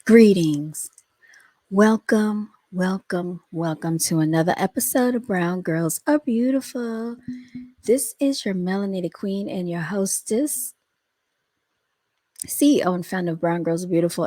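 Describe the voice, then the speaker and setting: Slow-talking woman with a scratchy voice. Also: mid-range voice, lively intonation, warm tone, dry recording. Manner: chatty, drawn-out, talks straight to viewers. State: scratchy, under the weather. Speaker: host and founder of the channel. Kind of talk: casual chat to viewers.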